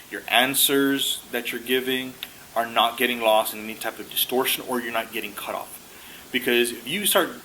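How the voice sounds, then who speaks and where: A man giving informal, explanatory advice as a career coach, halting at times and repeating words for emphasis. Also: medium pitch, bright, forceful tone, a little hiss or hum, low-quality recording.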